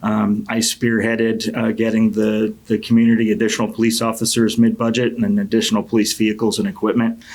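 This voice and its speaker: Halting middle-aged man, low flat voice. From Connecticut, United States. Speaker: city council member and candidate. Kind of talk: campaign opening statement.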